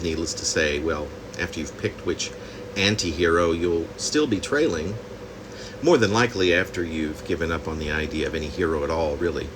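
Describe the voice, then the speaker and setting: Low-voiced man reading poetry over background hum. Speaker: poet reading own work.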